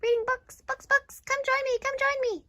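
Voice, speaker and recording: Very high, excitable childlike puppet boy played by a woman. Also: brisk, dry recording. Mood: chirpy, eager. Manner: bubbly, repeats phrases.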